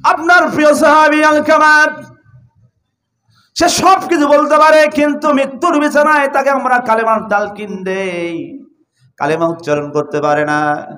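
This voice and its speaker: Earnest male disciple acted out by a preacher, reverent pleading, bright high voice, sermon storytelling. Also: fairly even intonation, a little slow, long pauses, full character voice. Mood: urgent. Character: earnest, urgent male disciple of a holy leader.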